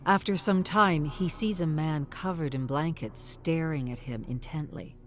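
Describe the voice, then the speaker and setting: Low-voiced woman narrating a story over music. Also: measured pace.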